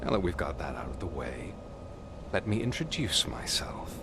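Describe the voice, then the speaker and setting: An actor playing a calm, menacing crime boss in a video game, speaking slowly and condescendingly over noise. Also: male voice, a bass voice, exaggerated voice. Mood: calm menace. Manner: slow, deliberate, condescending. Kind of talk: video game cutscene acting.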